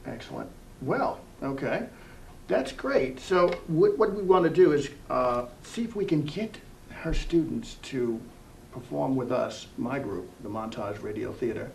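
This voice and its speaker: A man's voice: mid-range voice, lively intonation, measured pace, creaky, a little mellow. A man hosting an interview program in a casual, rambling, conversational way. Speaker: TV program host. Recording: distant mic with faint room hiss.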